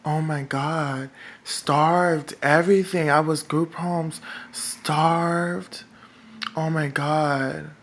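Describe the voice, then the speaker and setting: A man with a feminine-sounding voice, talking in a rambling vlog monologue that is halting and full of fillers and restarts. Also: on the high side, steady tone, a bit bright. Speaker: political vlogger. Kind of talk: casual monologue to camera.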